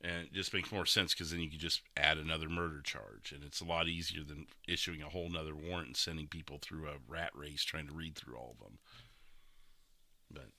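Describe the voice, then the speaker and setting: A man's voice: very deep voice, fairly even intonation, a little fast, vocal fry, sharp tone, no echo. A man hosting a gaming podcast, chatting casually as a streamer about roleplay. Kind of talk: casual podcast chat over replayed gameplay.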